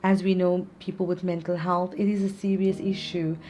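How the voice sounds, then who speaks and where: A woman born in South Africa, a psychiatrist answering interview questions in earnest, measured explanations. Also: lowish voice, flat delivery, mellow, slight background noise. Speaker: psychiatrist guest.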